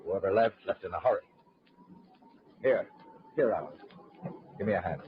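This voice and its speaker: A flustered gentleman in an old radio mystery. The voice is lowish and very animated, halting and dramatic. The sound is muffled and faintly noisy. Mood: urgent.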